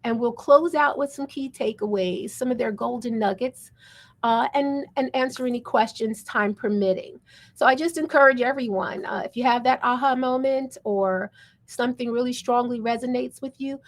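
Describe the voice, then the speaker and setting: A woman moderating a panel discussion in an upbeat, encouraging manner. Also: on the high side.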